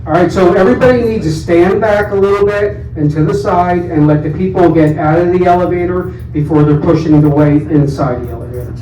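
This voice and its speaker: A man's voice: high voice, a little fast, few pauses, dark tone, a little hiss or hum. A man resident speaking up at a town hall, earnest and insistent. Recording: echoey room, distant mic.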